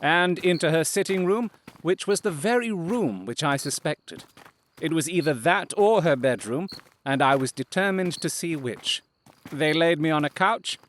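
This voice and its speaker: Self-assured detective for audio drama: highish, very lively male voice, precise and theatrical, faint noise behind.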